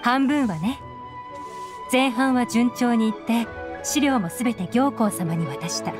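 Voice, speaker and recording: A woman's voice: fairly high pitch, a little slow, music in the background, close to an everyday voice. A woman voicing a shrewd, secretive young intelligence agent in an anime game, composed, knowing and slightly teasing. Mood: cool, calculating.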